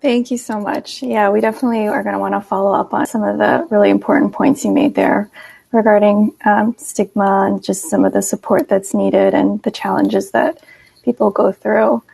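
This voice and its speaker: A woman moderating a webinar on a video call, briskly thanking one speaker and introducing the next. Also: mid-range voice, steady tone, gravelly, a little mellow, breathy edge, dry recording, poor audio.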